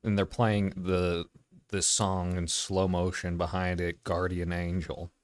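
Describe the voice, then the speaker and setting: A man's voice: low voice, level tone, measured pace, dry recording. A man hosting a casual reaction, commenting analytically and explaining what he notices.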